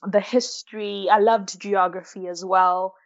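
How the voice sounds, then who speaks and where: A woman's voice: mid-range voice, steady tone, a little slow, dull audio. A woman in her twenties with a South African accent, chatting casually as an interview guest in a self-deprecating way. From Malawi, grew up in South Africa from about age six. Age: 20s. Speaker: interview guest, science postgraduate student.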